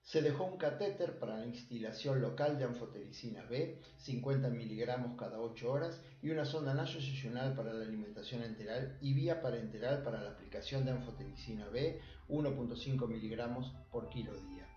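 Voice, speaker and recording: A man from Argentina with an Argentine accent, a surgeon presenting a clinical case in a measured, formal way. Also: medium pitch, warm tone, reverberant, lo-fi sound. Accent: Argentine Spanish. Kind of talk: recorded medical case presentation.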